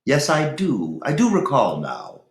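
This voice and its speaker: A hooded pilgrim of a storybook, bold and loyal, as a male reader plays him. He speaks deliberately and emphatically, with a very mobile pitch and a roomy echo. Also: huge pitch swings, vocal fry, exaggerated voice. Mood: calm, assured. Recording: roomy, echoey room.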